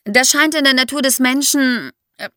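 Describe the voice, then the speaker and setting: A woman's voice: high voice, moderate pace, pushed and bright, no echo, studio quality. A woman explains history to young listeners as an expert guest, in a didactic way, posing questions as she goes.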